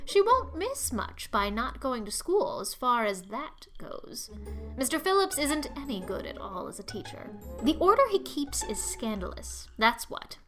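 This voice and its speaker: A woman's voice: a little high, a bit bright. A woman voicing a bossy, opinionated middle-aged village busybody in an audiobook, talking in an emphatic, indignant, folksy way. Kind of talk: audiobook character acting.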